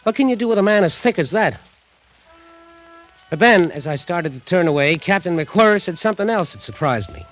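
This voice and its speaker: High-voiced, incredulous ship's mate. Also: male voice, sing-song, normal speed, a bit bright, faint music behind, low-quality recording. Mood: exasperated, wondering. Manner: incredulous, emphatic, dramatic. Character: excitable young ship's mate recounting a voyage. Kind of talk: old-time radio drama acting. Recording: 1940s radio recording.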